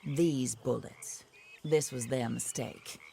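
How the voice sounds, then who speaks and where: An actress playing a vengeful genteel widow who speaks in a measured, formal and icy manner. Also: female voice, very deep voice, gravelly, warm tone, a little hiss or hum, full character voice. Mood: cold.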